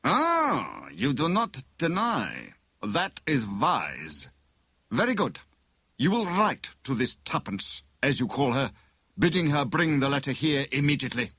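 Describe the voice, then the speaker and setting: A man voicing a menacing villain in an audiobook, with a sneering, deliberate and formal way of threatening. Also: medium pitch, wildly swooping pitch, bright, forceful tone, slightly rough, dry recording, pitched above the performer's own voice, full character voice. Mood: coldly insistent. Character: menacing, sneering male villain posing as inspector. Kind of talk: dramatised audiobook dialogue.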